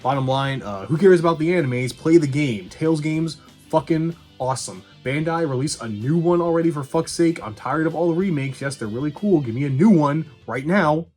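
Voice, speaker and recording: A man giving a casual movie review as a video host, blunt, conversational and quick to swear. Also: a little high, expressive, darkish tone. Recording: music behind the voice.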